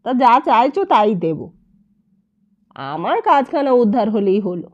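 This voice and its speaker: Casting: woman narrator as male haggler, eager for help, insistent, grudging, slow-paced, audiobook fiction. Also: a little high, sing-song, lo-fi sound. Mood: grudging agreement. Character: impatient, bargaining man in a hurry to get help.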